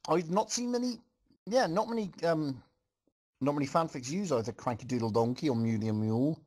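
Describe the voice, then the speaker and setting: A man's voice: fairly high pitch, lively intonation, fairly quick, a little mellow. A man reading a story aloud to a group as host, with amused, joking asides.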